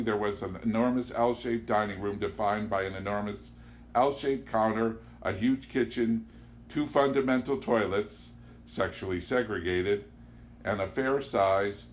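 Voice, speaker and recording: A man reading a literary novel aloud in an expressive storytelling style. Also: on the low side. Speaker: audiobook reader. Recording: clear background noise with hum.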